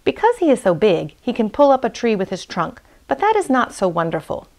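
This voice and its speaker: A woman's voice: mid-range voice, lively intonation, normal speed, close to an everyday voice. A woman voicing a scornful, cowardly male hyena in an animal storybook, taunting with bursts of ha-ha laughter. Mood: dismissive, scornful.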